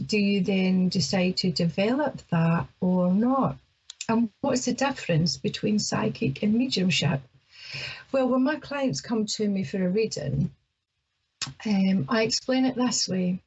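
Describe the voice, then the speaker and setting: A woman's voice: mid-range voice, measured pace, darkish tone, slightly rough, muffled recording. A middle-aged Scottish woman from Ayrshire hosting a psychic radio show, talking chattily and nervously with lots of fillers. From Scotland. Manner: chatty and hesitant, with many fillers. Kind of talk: casual talk-radio monologue.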